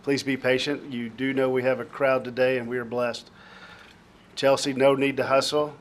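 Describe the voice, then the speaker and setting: A man's voice: medium pitch, level tone, normal speed. A man chairing a county court meeting, calling up speakers in a courteous, informal way. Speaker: presiding official.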